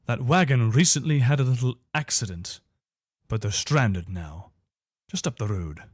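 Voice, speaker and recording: A man's voice: medium pitch, animated, normal speed, slightly rough, dry recording, clean studio sound. A man playing a loyal, wary soldier of an imperial legion in a video game, speaking in an earnest, soldierly manner. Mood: focused. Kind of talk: video game character voice lines.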